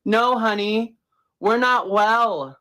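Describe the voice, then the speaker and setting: A woman in a short comedy clip speaking in a slow, theatrical, mock-menacing way. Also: a little high, fairly bright tone, low-quality recording.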